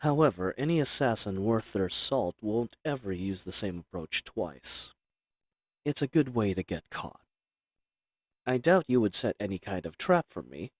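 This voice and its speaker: A man playing a cool, confident, flirtatious assassin in a roleplay audio drama, with a smug, teasing, measured delivery. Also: fairly low pitch, expressive, dry recording, clean studio sound, pitched below the performer's own voice.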